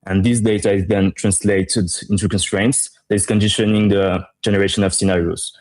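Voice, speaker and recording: Low-pitched man from Morocco, even delivery. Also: fairly quick. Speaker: startup co-founder being interviewed. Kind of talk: conversational business pitch.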